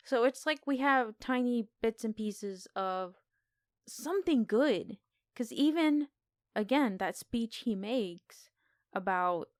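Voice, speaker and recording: A woman's voice: medium pitch, smooth, no room sound, studio quality. A woman co-hosting a podcast, giving film opinions in casual, unscripted conversation. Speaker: podcast co-host. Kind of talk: casual film review chat.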